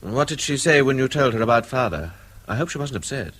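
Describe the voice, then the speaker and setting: An actor playing an apologetic middle-aged uncle in a radio drama, with an English accent and a hesitant, uneasy manner. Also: male voice, mid-range voice, lively intonation, fairly quick, a bit bright. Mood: chatty, genial.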